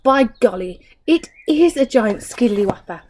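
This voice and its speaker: A woman's voice: a little high, animated, unhurried, darkish tone, slight background noise. A woman voicing a nasty, sly old man who grumbles and taunts, in a storybook reading. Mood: taunting. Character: nasty, gleeful old man with hairy beard, sly husband.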